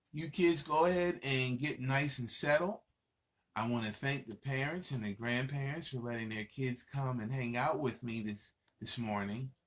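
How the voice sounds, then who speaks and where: A man's voice: medium pitch, a bit bright. A man reading picture books aloud to children as a host, in a casual, conversational way with asides to the young listeners. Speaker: children's story reader and host.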